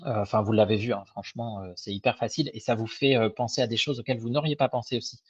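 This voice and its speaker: A young man with a slightly hoarse, husky voice walks viewers through a webinar in a friendly, informal way. He hesitates often and restarts his phrases. The sound is dull and lo-fi, like a web call, and very dry. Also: medium pitch, steady tone, fast, dry recording. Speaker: microfinance advisor presenting a webinar. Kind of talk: casual live webinar presentation.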